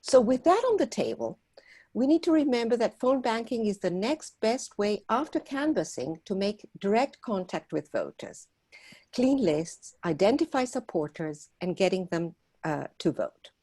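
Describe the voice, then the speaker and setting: A woman from Argentina, a volunteer organizer, giving an earnest prepared talk at a meeting and pausing often on fillers. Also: mid-range voice, very animated, mellow. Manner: earnest, persuasive, with frequent filler pauses.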